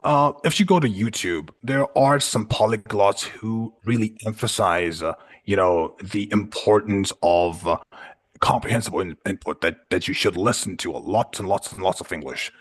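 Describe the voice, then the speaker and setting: A man from Punjab whose first language is Urdu, a guest in an online class, talking in a relaxed way with lots of "you know" and "like". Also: on the low side. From Punjab, Pakistan. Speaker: guest speaker.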